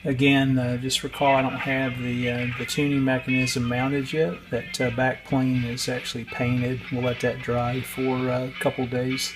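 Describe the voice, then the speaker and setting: A man's voice: mid-range voice, completely flat delivery, moderate pace, hardly any pauses, music in the background, rough recording. A man giving a casual, unscripted workbench walkthrough in a hesitant, matter-of-fact way. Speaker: radio restorer and video host.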